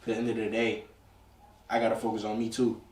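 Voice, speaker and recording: A man's voice: on the low side, completely flat delivery, fast talker, darkish tone, slight background noise. A nineteen-year-old man in a casual, slangy podcast conversation, speaking emphatically and repeating himself for emphasis. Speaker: podcast co-host. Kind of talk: casual group chat about relationships. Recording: echoey room, distant mic.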